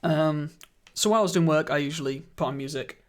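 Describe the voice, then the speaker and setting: Guy streaming, kinda croaky voice, pitched a bit high, chatty and laughy, good studio mic. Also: fast. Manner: chatty, laughs often, greets and thanks viewers. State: slightly croaky voice. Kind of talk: casual live-stream chat with viewers. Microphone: studio microphone.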